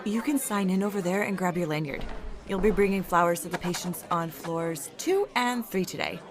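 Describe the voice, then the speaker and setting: An actress playing a friendly, easygoing hospital desk worker in an audio drama, welcoming and conversational. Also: female voice, lowish voice, normal speed, background noise, natural voice. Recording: chatter of people behind voice.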